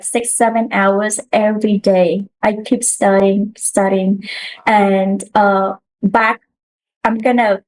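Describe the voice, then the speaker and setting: A woman's voice: medium pitch, poor audio. A woman, a guest telling her story earnestly in a casual interview, with restarts. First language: Khmer.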